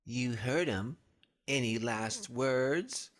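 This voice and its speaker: A man's voice: mid-range voice, very slow, a bit bright. A man voicing a vain, impatient vampire clubgoer in a comic-book cartoon. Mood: taunting. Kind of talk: motion comic voice acting.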